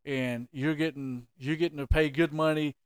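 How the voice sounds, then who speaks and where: A man co-hosting a podcast, talking sports in an animated, joking way and quizzing his co-host. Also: a little high, moderate pace, smooth, no echo. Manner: animated, jokey, plays the interviewer. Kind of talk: casual sports chat.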